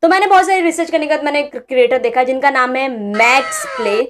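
A woman's voice: high voice, quick pace, noisy background. A young woman giving casual, mocking reaction commentary as a vlogger, exclaiming at what she watches.

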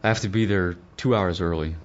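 A man's voice: low voice, fairly quick, lo-fi sound, close to an everyday voice. A man playing a cautious air traveller in a scripted lesson dialogue. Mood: careful.

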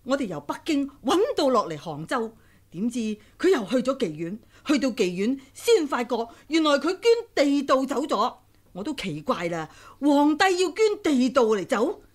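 A woman's voice: sits high, big pitch swings, moderate pace. An actress playing a blunt, feisty wife in her sixties in a Cantonese radio drama from Hong Kong. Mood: brash storytelling.

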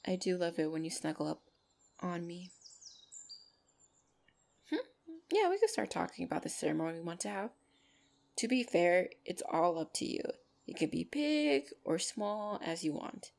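A woman's voice: on the low side, very animated, normal speed, vocal fry, long pauses, darkish tone. A woman playing a loving, bashful and protective orc girlfriend in an intimate ASMR roleplay, speaking tenderly and hesitantly. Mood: affectionate, content. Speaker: voice actor in audio roleplay.

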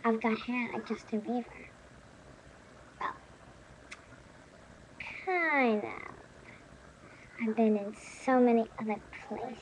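A woman's voice: a little high, slow, long pauses, highs cut off. A young woman joking around in front of her webcam in a small room, rambling in a silly way about how she looks. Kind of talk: playful webcam monologue with face filters. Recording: noisy webcam recording.

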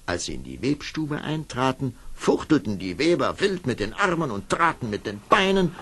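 A man's voice: medium pitch, big pitch swings, moderate pace, a bit bright, slightly rough. A man narrating a fairy tale as a scripted storyteller for an audio play. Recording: old cassette, faint noise.

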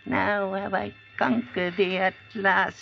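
An actor playing a gloating, vengeful old wizard in a vintage radio play, casting spells in slow archaic speech. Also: male voice, sits high, little pitch movement, vocal fry, soft background music, heavily acted. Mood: gloating triumph. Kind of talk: 1940s radio acting. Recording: muffled old radio recording.

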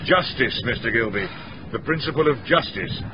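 An actor playing a methodical, courteous detective inspector with quiet authority in a radio drama. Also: male voice, mid-range voice, expressive, normal speed, natural voice. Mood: brisk, measured. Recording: dull, noisy radio drama recording.

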